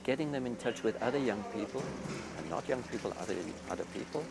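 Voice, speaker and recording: A middle-aged or older man with a Swiss accent, talking reflectively and haltingly in an interview style about his own work. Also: mid-range voice, expressive, heavy background noise. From Switzerland. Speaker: youth retreat founder. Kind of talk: interview-style personal talk.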